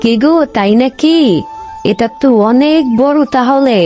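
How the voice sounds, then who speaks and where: Woman voicing a mellow-toned, high-pitched fox wife. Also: measured pace. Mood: delighted. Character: bossy, demanding wife fox, longing for better home. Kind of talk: cartoon moral story acting. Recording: music behind the voice. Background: music.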